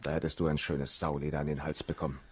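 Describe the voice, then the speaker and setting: A man's voice: very deep voice, level tone, fast talker, slightly rough. An actor playing a callous, cynical nobleman officer in a German radio drama, drawling and sneering in self-justification. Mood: scornful, smug.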